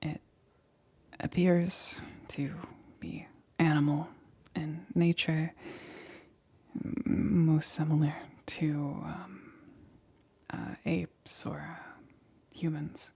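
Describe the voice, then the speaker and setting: Woman playing a nervous lady scientist, asmr roleplay, super breathy whisper, low and kinda flat, halting and stammery. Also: full character voice. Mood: clinical, hesitant. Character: nervous female scientist examining an alien specimen.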